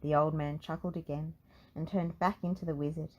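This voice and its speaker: A woman narrating a children's storybook in an expressive read-aloud style. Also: low-pitched, a little fast, highs cut off.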